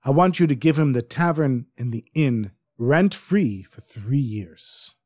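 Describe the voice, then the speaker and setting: A man's voice: medium pitch, animated, measured pace, darkish tone, no room sound. A man voicing a nobleman's wife who scolds and commands her husband. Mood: scolding. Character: stern, indignant wealthy nobleman wife.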